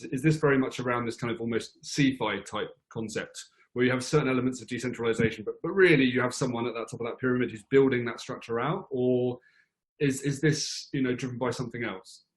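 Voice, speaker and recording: A man hosting a podcast, asking questions haltingly and repeating words as he frames them. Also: medium pitch, low-quality recording. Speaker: podcast host. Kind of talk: interview questions.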